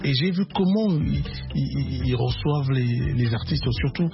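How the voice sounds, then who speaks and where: A man from Kinshasa whose first language is Lingala talks easily about his career, often stumbling and repeating himself. His voice sits at an ordinary male pitch. The radio sound is dull, and music plays clearly behind him. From Congo (Kinshasa). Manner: relaxed, halting, many repeated words. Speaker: musician guest. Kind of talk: radio interview.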